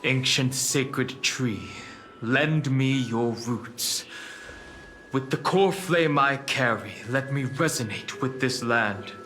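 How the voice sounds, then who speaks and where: An actor playing a steadfast young male hero in a fantasy game, speaking in a measured, earnest, declamatory way. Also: mid-range voice, a little hiss or hum. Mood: solemn vow. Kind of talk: dramatic scripted game voice acting. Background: loud music.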